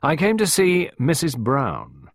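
A man's voice: medium pitch, very animated, moderate pace, dry recording, clean studio sound, voice pushed up. A man voicing a mocking, faintly menacing male villain in an audiobook, with a pointed, drawling manner.

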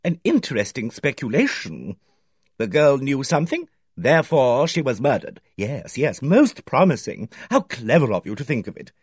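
A man reading aloud, voicing an effusive, fussy older male village gossip who talks in a theatrical, drawn-out way. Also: medium pitch, huge pitch swings, slightly rough, dry recording, clean studio sound, exaggerated voice. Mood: gushing.

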